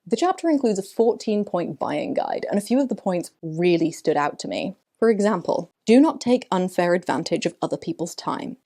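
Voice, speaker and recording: Mellow-voiced woman presenting a scripted commentary. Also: lowish voice, very animated, moderate pace, hardly any pauses. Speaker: vlog host. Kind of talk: scripted commentary with dramatic book reading.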